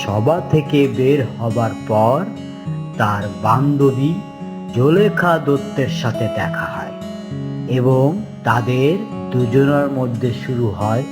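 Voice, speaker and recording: A man's voice: medium pitch, takes it slowly, over music. A man reading scripted narration for a children's cartoon fairy tale.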